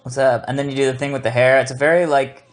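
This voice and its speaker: A man's voice: mid-range voice, level tone, quick pace, faint music behind. A man co-hosting a show in casual, chatty commentary, easygoing and agreeable with the others. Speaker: show co-host.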